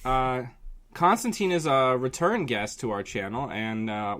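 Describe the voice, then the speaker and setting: A man hosting a card game channel, speaking at an everyday mid pitch. He explains card rules in a friendly, casual way, peppered with "uh"s. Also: a bit bright. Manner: friendly, explanatory, filler-heavy. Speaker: channel host. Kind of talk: casual interview.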